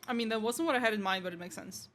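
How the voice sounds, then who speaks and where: A woman's voice: on the high side, racing, a bit bright. A woman streaming a game, giving casual live reactions in informal, offhand asides. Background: video game music.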